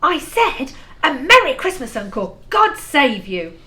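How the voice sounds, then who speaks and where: Woman playing a high-voiced, exuberant young nephew. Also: sing-song, a little slow, crowd noise. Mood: merry, teasing. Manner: earnest, exuberant, good-humoured. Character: cheerful, kind-hearted young nephew, Fred. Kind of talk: amateur audio play acting.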